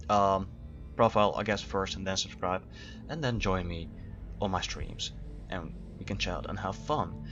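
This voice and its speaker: Male streamer, low voice, loose chatty delivery, muffled stream audio. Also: a bit bright, a little hiss or hum. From Netherlands. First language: Dutch. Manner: chatty, rambling with restarts. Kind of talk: live gameplay commentary.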